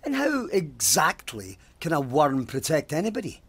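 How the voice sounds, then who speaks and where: An actor playing a pious, stubborn, haunted police sergeant in an audio drama, speaking in an intense, formal, demanding way. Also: male voice, on the high side, huge pitch swings, moderate pace, husky, heavily acted. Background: music.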